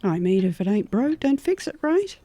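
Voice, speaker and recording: A woman's voice: mid-range voice, very animated, moderate pace, warm tone. A woman playing a chipper, earnest, folksy frontier gal in a horror-comedy audio drama. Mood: upbeat, curious.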